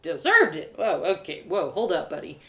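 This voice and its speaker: A woman voicing an excitable, warm-hearted young heroine in an audio drama, gushing and impulsive. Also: fairly low pitch, moderate pace, full character voice. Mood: indignant. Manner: gushing, impulsive, self-talking. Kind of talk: animated first-person audio drama. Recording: roomy, echoey live reading.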